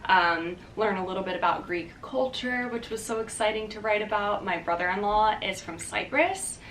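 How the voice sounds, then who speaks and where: A woman's voice: mid-range voice, normal speed, fairly bright tone, far from the mic, slight background noise. A young woman vlogging casually to the camera, chatty and upbeat, with lots of "um" and "like". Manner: chatty and upbeat, many fillers like "um" and "like". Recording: echoey room, voice a bit off-mic.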